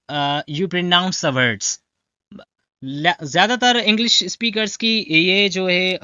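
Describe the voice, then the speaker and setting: Bright high-voiced teacher. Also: male voice, smooth, no room sound, lo-fi sound. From Pakistan. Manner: mixes Hindi-Urdu and English, frequent fillers and "theek hai?" check-ins. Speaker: English teacher. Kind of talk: lecture-style lesson.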